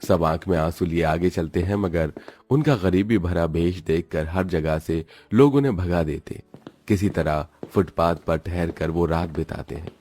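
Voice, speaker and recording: A man's voice: deep voice, a little slow, darkish tone. A man narrating a dramatic scripted story. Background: faint music.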